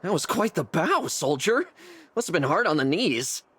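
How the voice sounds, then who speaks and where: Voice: mid-range voice, sing-song, moderate pace, fairly bright tone, slightly rough. An actor voicing a breezy, teasing companion in a video game cutscene. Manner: casual, teasing.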